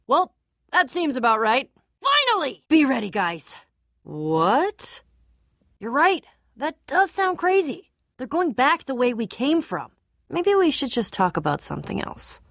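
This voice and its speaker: A woman's voice: sits high, sing-song, measured pace, fairly bright tone, dry recording, studio quality, full character voice. An actress playing a tough, guarded young female adventurer in a video game, casual, candid and dryly sarcastic. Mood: wary, surprised. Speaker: game voice actor. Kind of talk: video game character dialogue.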